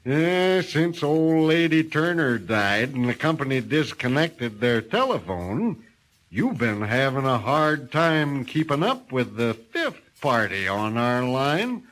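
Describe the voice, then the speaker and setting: A man voicing a teasing older lawyer husband who drawls in an unhurried, wryly humorous way in a spoken horror story. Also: medium pitch, sing-song, fairly bright tone, pitched above the performer's own voice.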